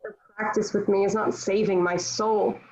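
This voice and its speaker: A woman's voice: medium pitch, flat delivery, moderate pace, a little mellow, slight background noise, lightly acted. A woman playing a defiant, devout young warrior held captive, with solemn, declamatory phrasing in a table read of a historical drama, on a dull lo-fi recording. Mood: scornful, defiant. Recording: dull, lo-fi home online recording.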